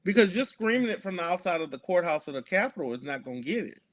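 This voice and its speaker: A man's voice: high voice, sing-song, quick pace, crowd noise. A man, speaking earnestly as an activist guest in an interview, with many fillers and restarts. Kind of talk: conversational interview.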